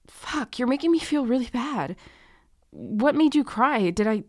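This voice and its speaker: A woman playing a snarky but soft-hearted young tutor in an ASMR romance roleplay, halting and sweary, with sighs and a tendency to drop into whispers. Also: on the high side, expressive, no room sound, studio quality. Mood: panicked, apologetic. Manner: halting, awkward, sweary, often sighing and dropping to whispers. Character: harsh, snarky young female tutor turned flustered, guilty comforter.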